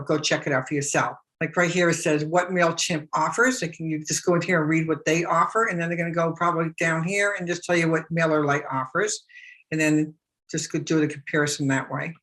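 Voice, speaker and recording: Bright-voiced woman giving a chatty tutorial. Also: deep voice. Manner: chatty, repeats herself, many fillers and restarts. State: losing her voice. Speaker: business blogger teaching online marketing. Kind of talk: casual screen-share tutorial.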